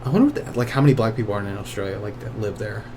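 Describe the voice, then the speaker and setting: A man's voice: on the low side, racing, darkish tone, a little hiss or hum. A young man co-hosting a podcast, chatting casually in a wry, joking way and laughing often. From Cuban American, raised in southern Georgia. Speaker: podcast co-host. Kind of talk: casual chat.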